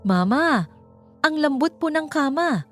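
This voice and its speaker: A woman's voice: high-pitched, expressive, a little slow, faint music behind, voice pushed up. Woman doing an innocent, polite little child. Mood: excited. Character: small child, innocent, addressing mother politely.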